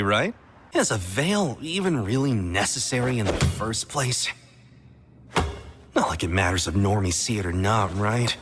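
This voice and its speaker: A man's voice: on the low side, lightly acted. A man as a smug, swooping young fighter. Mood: flippant. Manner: smug, taunting, casual. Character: arrogant, mocking young male fighter, flippant bully. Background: music.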